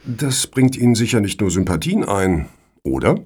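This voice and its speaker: An actor as a male foundation official in a radio satire, ceremonious and pointed. His voice is low and husky, with very lively intonation. He stays formally polite and officious. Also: normal speed. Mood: politely pointed. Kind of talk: satirical radio play acting.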